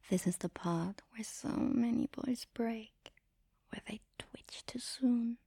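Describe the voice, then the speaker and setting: A woman speaking in an intimate, commanding and teasing erotic ASMR style, in a breathy whisper close to the mic. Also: medium pitch, warm tone, no room sound. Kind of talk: erotic ASMR, guided audio roleplay.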